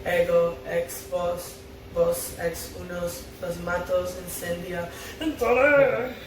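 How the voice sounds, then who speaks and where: A woman at a script table read, playing a determined young witch who chants spells in a slow, repetitive way. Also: fairly low pitch, steady tone, noisy background. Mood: chanting. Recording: echoey, distant mic, music behind.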